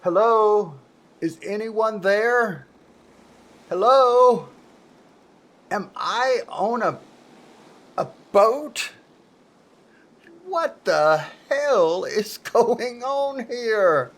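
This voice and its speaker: A woman's voice: fairly high pitch, lively intonation, very slow, pauses often, exaggerated voice. A woman playing a bewildered, lost newcomer in a comedy audio drama.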